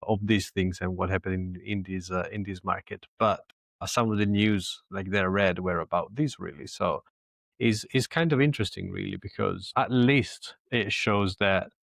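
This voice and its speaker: A man in his thirties from Italy, speaking English with an Italian accent touched by British, talking haltingly with many restarts as a podcast guest. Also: low voice, fairly even intonation, creaky, fairly bright tone, no room sound, studio quality. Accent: Italian with British influence. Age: 30s. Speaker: podcast guest, agency COO. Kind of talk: casual interview talk.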